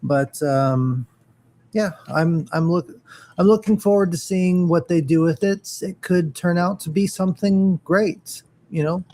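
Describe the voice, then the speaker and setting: A man's voice: sits high, a little slow, dark tone. A man co-hosting a casual talk show, hesitant with frequent fillers. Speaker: co-host. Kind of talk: casual chat.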